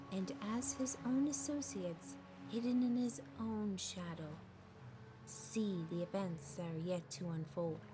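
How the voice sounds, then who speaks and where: A woman's voice: on the low side, rough recording. A woman narrating a scripted fantasy story.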